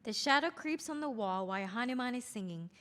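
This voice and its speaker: A woman reads stage directions for a play's table read, announcing each scene in a plain, even way. Her voice sits slightly high, and there is a lingering reverb around her. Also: brisk.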